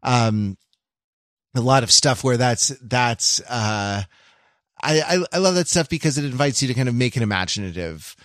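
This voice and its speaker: A man co-hosting a podcast in casual discussion, rambling and halting as he thinks his points through. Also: mid-range voice, lively intonation, fairly bright tone, no room sound, clean studio sound. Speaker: podcast co-host.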